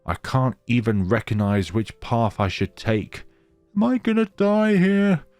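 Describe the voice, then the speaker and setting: A man's voice: mid-range voice, expressive, measured pace, a little mellow, no echo, faint music behind. A man hosting a gaming let's play, reading story text aloud dramatically and dropping in casual asides. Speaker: gaming channel host.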